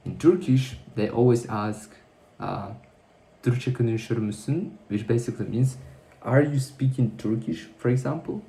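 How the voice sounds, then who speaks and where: Gravelly low-voiced man in an echoey room. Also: moderate pace, warm tone. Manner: rambling, self-correcting, thinking aloud. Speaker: vlogger. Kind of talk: casual diary-style monologue.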